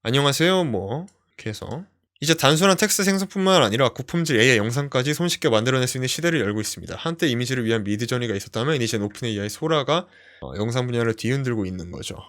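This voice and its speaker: A man's voice: mid-range voice, rapid-fire. A young man hosting a screen-share tech tutorial, explaining step by step with frequent fillers. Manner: explanatory, lively, many fillers "어" and "뭐".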